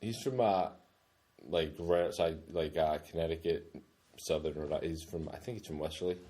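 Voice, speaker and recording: A man's voice: rumbling low, fairly even intonation, moderate pace, warm tone. A man in his thirties chatting casually on a podcast, rambling with lots of "dude" and "like". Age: 30s. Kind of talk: casual chat.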